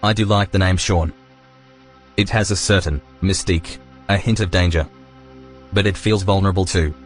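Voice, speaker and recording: A man playing an officious security AI in an audio drama, formal, deadpan and bureaucratic. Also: deep voice, moderate pace, close to an everyday voice. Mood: hesitant, deadpan. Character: officious, stern male security AI guarding a system. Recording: music under the dialogue.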